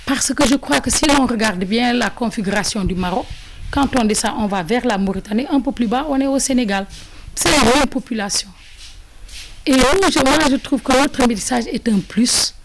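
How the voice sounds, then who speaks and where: A woman from Senegal explaining history in an interview, halting and thoughtful with many restarts. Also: fairly high pitch, background noise.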